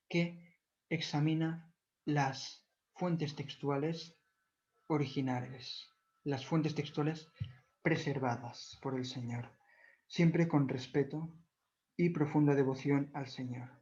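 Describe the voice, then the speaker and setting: Devout Catalan-native man lecturing slowly. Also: fairly high pitch, fairly even intonation, a little mellow. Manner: measured, devout, corrects himself often. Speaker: video lecturer. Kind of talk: explanatory talk on church history. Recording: dull lo-fi recording.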